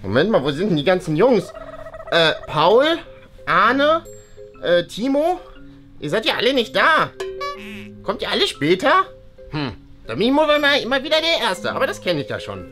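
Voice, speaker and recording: A woman's voice: mid-range voice, sing-song, moderate pace, long pauses, fairly bright tone. A woman playing a nerdy, anxious, rule-obsessed schoolboy in a children's school skit, with a pedantic, stammering manner. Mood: eager. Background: music.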